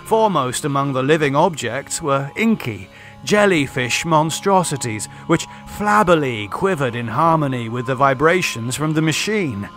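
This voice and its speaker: A man reading a scripted horror story as an audiobook narrator, in a theatrical, deliberate manner. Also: on the high side, expressive, a bit bright, faint music behind.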